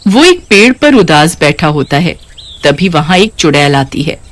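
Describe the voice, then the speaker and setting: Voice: mid-range voice, big pitch swings, unhurried. A narrator reading a scripted cartoon story.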